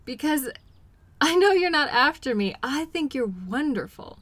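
A woman playing a caring, patient girlfriend in an intimate role-play audio, speaking gently and reassuringly to comfort her partner. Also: sits high, animated, a little slow, no echo. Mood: affectionate, reassuring.

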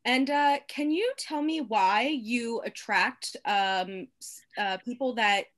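A woman's voice: a little high, slow, a bit bright. A woman improvising comedy over a video call with hesitant phrasing. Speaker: improv performer.

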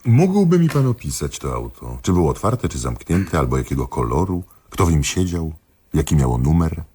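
Radio play casting: a sardonic, methodical police commissioner; deep register, highly expressive melody, insistent questioning. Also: male voice, normal speed, breathy edge, heavily acted. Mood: businesslike. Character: dry, pedantic male police commissioner questioning witnesses. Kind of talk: radio drama acting.

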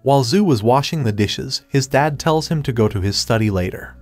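A man giving a scripted third-person recap of a comic story in a steady retelling manner. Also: medium pitch, a little fast. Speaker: recap narrator. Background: faint background music.

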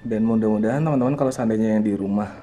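A man's voice: lowish voice, very fast, warm tone, muffled recording. A young man with a Jakarta-area Indonesian accent narrates a casual exploration vlog in a slangy, exclamatory way, dropping in English interjections. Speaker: vlogger exploring abandoned places. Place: abandoned villa at night, moving through rooms. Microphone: handheld camera microphone. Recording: clearly noisy handheld outdoor-indoor ambience.

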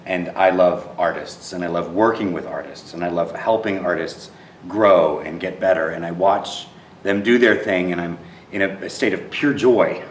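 A man in his thirties with a very deep voice answers interview questions with earnest warmth, often restarting and repeating words as he thinks. He sounds set back from the microphone. An empty theater adds a roomy echo and a faint hum of ambience. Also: moderate pace, far from the mic. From Texas. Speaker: theater director.

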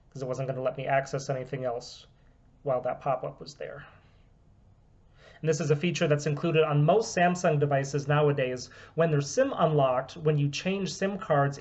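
Man demoing a phone, lo-fi audio. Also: a little high. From United States. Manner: steady, explanatory, methodical. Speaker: phone repair business owner. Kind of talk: product demonstration. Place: small room indoors at home. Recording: dull, lo-fi camera audio.

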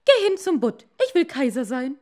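A woman's voice: high voice, big pitch swings, moderate pace, darkish tone, voice pushed up. A woman voicing a greedy, imperious fisherman's wife in a fairy tale, impatient and demanding. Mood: furious, commanding.

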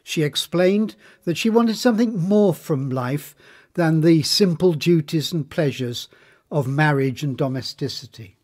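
Higher-pitched man reading formal narration. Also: a little mellow. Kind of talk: scripted documentary narration.

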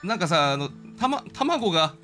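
A man's voice: high-pitched, sing-song, normal speed, pushed and bright, faint music behind. A man giving casual live commentary as he streams a game, talking to himself and his viewers. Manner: reads game dialogue aloud in character.

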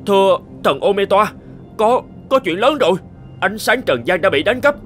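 A man's voice: expressive, moderate pace, fairly bright tone, soft background music. A man voicing an alarmed, deferential servant of the gods pleading in a cartoon fairy tale. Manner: alarmed, pleading, high-strung.

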